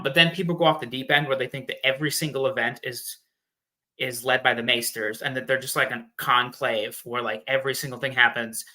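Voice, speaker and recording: Male guest for casual theory talk: average pitch, strikingly bright and forceful delivery, conversational phrasing. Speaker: guest theorist on a fan discussion show. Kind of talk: casual chat explaining fan theories.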